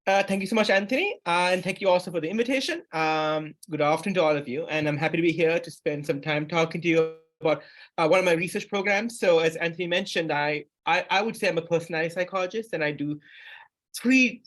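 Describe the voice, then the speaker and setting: A man's voice: a little high, fast talker, fairly bright tone. A middle-aged man from Sri Lanka giving an academic seminar lecture on psychology, speaking with frequent restarts and rising emphasis.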